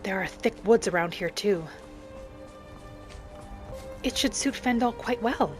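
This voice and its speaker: A woman voicing a gentle, adventurous female wood elf traveller in a video game roleplay, musing softly aloud in character over music. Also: medium pitch, unhurried, close to an everyday voice. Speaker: video game streamer role-playing.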